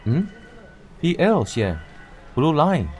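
An actor playing a sweet, attentive young male suitor in a radio drama, friendly and gently teasing, with a Taiwanese Mandarin accent. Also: fairly high pitch, big pitch swings, takes it slowly, soft background music. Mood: helpful.

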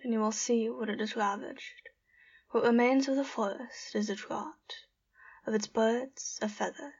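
A woman's voice: fairly high pitch, husky, muffled recording. A young woman introducing and reading a poem she wrote. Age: young adult. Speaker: poet.

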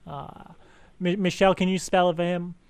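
An earnest, dim-witted dad as a male prank caller puts him on stumbles through a phone call. His voice is smooth and a little high, recorded dry. He stammers and repeats words as he muddles along. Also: little pitch movement, a little mellow, no room sound. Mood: befuddled, halting. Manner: halting, stuttering repetition of words. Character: bumbling, barely literate, stammering, earnest father.

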